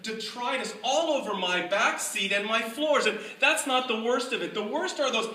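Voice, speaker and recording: A middle-aged speaker telling a tall tale to a live audience in a deliberate, mock-scholarly way with dramatic pauses. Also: mid-range voice, lively intonation, bright, forceful tone, distant mic. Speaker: speaker giving a humorous club speech. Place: indoor room with live audience. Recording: echoey room from afar, faint noise.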